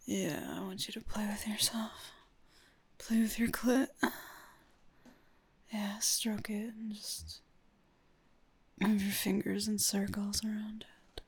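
Young woman whispering slow and breathy, talking right to you, lots of sighs. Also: mid-range voice, little pitch movement.

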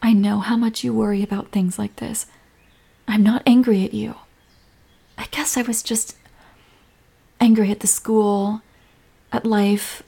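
Female, acting wounded but devoted girlfriend in audio roleplay, creaky voice, unhurried, emotional delivery. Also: mid-range voice, little pitch movement. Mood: tender, soothing. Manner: emotional, swinging from sharp reproach to tender whispers. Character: hurt, apologetic girlfriend quarrelling with and comforting her partner. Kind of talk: scripted romantic audio roleplay, addressing the listener.

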